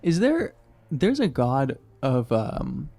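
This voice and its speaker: A man's voice: mid-range voice, huge pitch swings, slow, dark tone, soft background music. A man playing a tabletop game, improvising his character's actions in casual, irreverent, sardonic chat.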